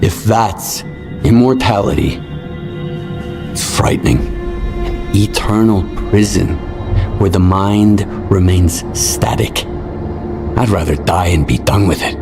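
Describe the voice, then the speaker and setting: A low-voiced, brooding game character, grave and measured. Prominent eerie music plays behind him. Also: male voice, creaky, full character voice. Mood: grim, reflective. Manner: solemn, measured. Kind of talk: dramatic video game acting.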